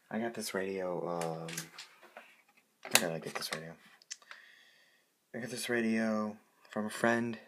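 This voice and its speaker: A man presenting his hobby in a casual, unscripted show-and-tell, halting and correcting himself as he goes. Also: low voice, a bit bright. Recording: clearly noisy amateur home recording.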